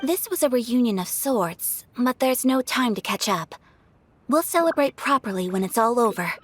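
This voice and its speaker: A woman voicing a composed, dutiful young noblewoman who leads with formal, measured earnestness in a video game. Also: fairly high pitch, lively intonation, no echo. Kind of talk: video game cutscene voice acting.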